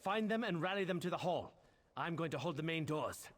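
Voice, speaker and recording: A man's voice: on the high side, animated, a little fast, lightly acted. A man playing a commanding, determined warrior leader in a fantasy video game. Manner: commanding, resolute. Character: gruff, determined Nord warrior leader rallying his troops. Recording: clearly noisy game audio with ambience.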